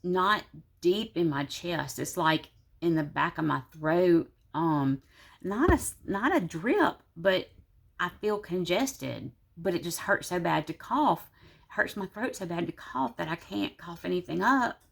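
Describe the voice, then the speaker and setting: A woman's voice: expressive, measured pace, gravelly. A woman, sick and congested with a sore throat, chatting tiredly to viewers from her desk at home. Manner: tired, low-energy, rambling. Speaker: planner vlogger. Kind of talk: casual vlog talk to viewers.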